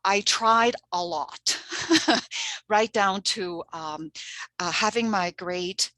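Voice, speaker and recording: A woman's voice: mid-range voice, very slow, sharp tone, dry recording. A middle-aged woman from Switzerland, a native Swiss German speaker, presenting a language-teaching workshop in an earnest, chatty way and laughing often. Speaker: language coordinator.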